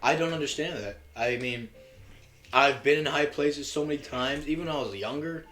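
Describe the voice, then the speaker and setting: A man's voice: a little high, normal speed, a bit bright. Casual young man co-hosting a podcast. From Russia. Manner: blunt, swears, laughs often. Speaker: podcast co-host. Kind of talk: casual chat.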